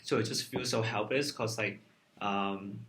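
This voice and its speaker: A man's voice: on the low side, steady tone, unhurried, fairly bright tone. A man telling a trip story in casual chat, hesitant and full of fillers. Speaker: interviewee.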